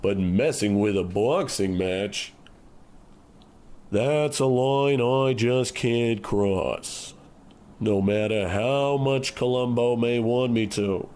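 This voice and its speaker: Man playing a slow-talking glum gangster son. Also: medium pitch, darkish tone, close to an everyday voice. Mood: troubled, earnest. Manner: earnest, morose. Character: glum small-time gangster son who loves boxing. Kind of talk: comic old-time radio play acting.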